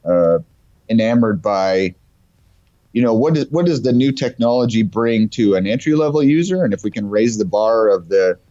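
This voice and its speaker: A man's voice: lowish voice, animated, normal speed, mellow, dry recording. A man with a cold, chatting casually and enthusiastically about technology as an invited guest. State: has a cold. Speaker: guest company representative. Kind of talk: casual tech discussion. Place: remote call over the internet. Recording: muffled, dull call audio.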